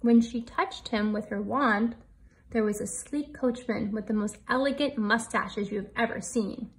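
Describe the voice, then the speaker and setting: Higher-voiced American woman teacher reading aloud. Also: measured pace, poor audio. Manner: expressive, explanatory, addressing young children. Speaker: elementary school teacher. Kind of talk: children's storybook read-aloud with asides.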